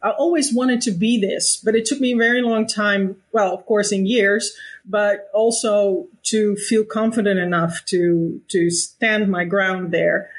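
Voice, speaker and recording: A woman in her fifties speaks in an unhurried, reflective way. She often restarts her sentences and laughs easily as she talks. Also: medium pitch, steady tone. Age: 50s. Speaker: guest. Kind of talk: conversational interview.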